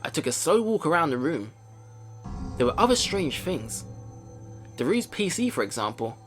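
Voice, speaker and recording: Male gaming host for visual novel read-alongs: high, expressive voice, casual delivery over music. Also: moderate pace. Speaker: let's play host. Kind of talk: reading game narration aloud with casual reactions. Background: game music.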